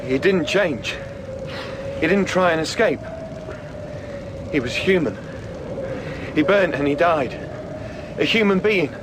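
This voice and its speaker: A man's voice: a little high, lively intonation, takes it slowly, pauses often, noisy background. A man playing a worried, subdued companion at a polar research station in an audio drama. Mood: shaken, accusing.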